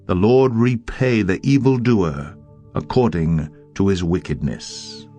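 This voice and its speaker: A man's voice: deep voice, expressive, a little slow, warm tone. A stern and righteous warrior king of old , as a male narrator plays him speaks with grave authority. The delivery is emphatic and declamatory, in the manner of a dramatic scripture audiobook, with music underneath. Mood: grieving, grave.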